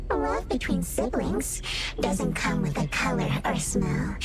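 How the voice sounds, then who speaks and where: A woman voicing a haughty, cryptic flower spirit in a video game, reciting in a formal, theatrical way. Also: mid-range voice. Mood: bitter, scornful.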